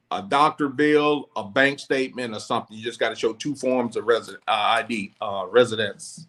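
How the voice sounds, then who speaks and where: A man's voice: mid-range voice, a bit bright. A man hosting a casual podcast chat, explaining things at length in a loose, rambling way with frequent restarts. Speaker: podcast host; former law enforcement officer.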